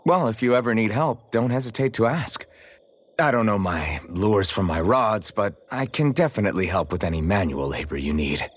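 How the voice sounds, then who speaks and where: A husky, plainspoken young swordsman. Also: fairly low pitch, normal speed. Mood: supportive, friendly. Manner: casual, unpretentious. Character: plainspoken young swordsman, modest, good-natured, loyal. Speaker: video game protagonist. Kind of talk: English-language video game character dialogue.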